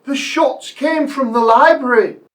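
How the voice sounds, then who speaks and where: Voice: high voice, a little slow, recorded from a distance. A performer playing a formal, deferential butler in a radio mystery play. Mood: grave, alert.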